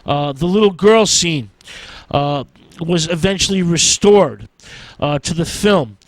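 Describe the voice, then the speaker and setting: A man with a somewhat high voice presents film history in an informal lecture style. He moves forward haltingly, putting an "uh" before most phrases. The sound is dry, with little room in it. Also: lively intonation, no room sound, poor audio. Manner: halting, hesitant delivery with an "uh" before nearly every phrase.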